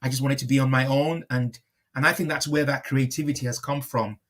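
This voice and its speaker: A man gives a reflective, conversational interview as the guest. His voice sits at a medium male pitch, and he often repeats a phrase before carrying on, rewording his thought as he goes. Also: steady tone, brisk, fairly bright tone. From born in Liverpool, moved to Nigeria at five.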